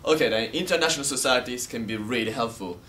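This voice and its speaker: A man's voice: mid-range voice, quick pace, noisy background. A young man presenting a feature in scripted narration. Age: young adult. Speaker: student TV presenter.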